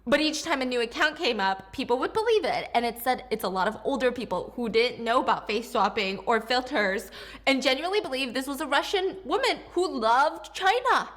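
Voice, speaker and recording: A woman narrating a commentary video in a brisk, upbeat scripted delivery. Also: high voice, lively intonation, fairly bright tone.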